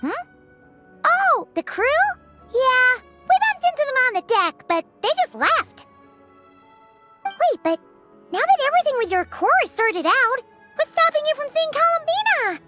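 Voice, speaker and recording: A woman's voice: very high-pitched, big pitch swings, measured pace, pauses often, full character voice. A woman voicing a small, childlike, cheeky fairy companion in a video game, perky and blunt. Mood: chatty. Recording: loud music behind the voice. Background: music.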